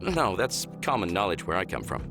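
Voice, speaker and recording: A man's voice: low voice, big pitch swings, fairly quick, fairly bright tone, heavily acted. A man voicing a friendly, chatty, knowledgeable crew member in a visual novel, with articulate explanations. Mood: friendly, informative. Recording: music playing behind.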